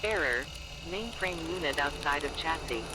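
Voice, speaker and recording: A man's voice: a little high, lively intonation, normal speed, loud music behind the voice, natural voice, poor audio. A man voicing a malfunctioning military mainframe computer that gives impersonal, formulaic error announcements in a sci-fi drama. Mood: impersonal warning. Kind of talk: sci-fi audio drama machine voice.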